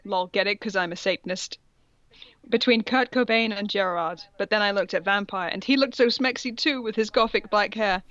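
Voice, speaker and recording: A woman's voice: medium pitch, normal speed, fairly bright tone, no room sound, lo-fi sound. A woman co-host reading fan fiction aloud in a comic dramatic style, mock-serious and amused, with casual sweary asides.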